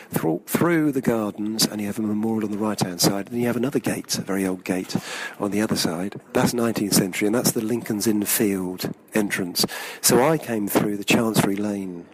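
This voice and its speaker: Chatty male tour guide, lowish voice. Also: noisy background. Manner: chatty, digressive, fond of dates and trivia. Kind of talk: casual on-location guide talk. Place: outdoors walking through London streets and courtyards.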